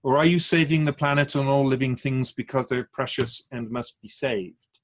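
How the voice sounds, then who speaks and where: A wise, otherworldly keeper of souls, acted by a man for a radio drama. The delivery is measured and instructive, with a gently chiding, wry edge. The pitch sits in a middle range. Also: steady tone, close to an everyday voice. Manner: measured, didactic, wryly teasing. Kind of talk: conversational radio drama acting.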